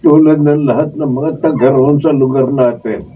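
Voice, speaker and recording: A man's voice: medium pitch, steady tone, normal speed, husky, recorded from a distance, narrow, tinny audio, lightly acted. A man playing a grave, resigned husband facing ruin, with a heavy, dramatic radio drama delivery. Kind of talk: Filipino radio drama acting. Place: radio drama recording session.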